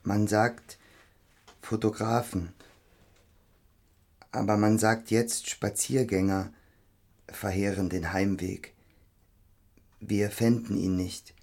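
An actor playing a shy, self-doubting young poet in an intimate radio drama, halting and terse. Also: male voice, on the low side, flat delivery, darkish tone. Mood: hesitant, solemn.

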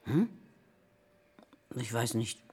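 Radio drama: woman in her sixties, deep, rough-edged, hesitant, swooping intonation. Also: expressive, very slow, a little mellow, exaggerated voice. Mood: hesitant, worried. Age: 60s. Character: hesitant, matter-of-fact sixty-four-year-old woman patient. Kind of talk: naturalistic radio play acting.

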